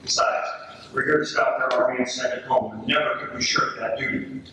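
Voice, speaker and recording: A man's voice: mid-range voice, a little fast, lightly acted. A man playing a British soldier earnestly narrating a wartime letter in a readers theater performance. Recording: very echoey room, distant mic, faint noise.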